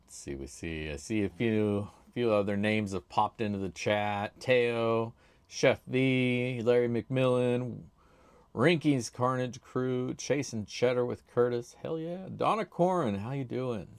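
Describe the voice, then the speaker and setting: A man hosting a casual livestream, chatty and laid-back, with a loud voice, talking into a desk microphone at his home streaming setup. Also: medium pitch, unhurried, clear, resonant tone, no room sound. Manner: chatty, laid-back, reads chat names aloud. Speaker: livestream host.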